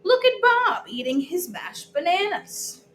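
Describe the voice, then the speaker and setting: A woman voicing a patient but weary mother of small children from a storybook, gently chiding and soothing. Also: very high voice, big pitch swings, unhurried, pitched above the performer's own voice, exaggerated voice. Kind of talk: storybook read-aloud. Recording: echoey room with background noise.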